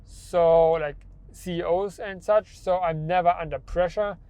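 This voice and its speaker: A man's voice: sits high, fairly even intonation, measured pace, mellow, clean tone, dry recording. A young man who stutters, with repeated words and restarts, talking about his own experience in a personal vlog. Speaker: vlogger.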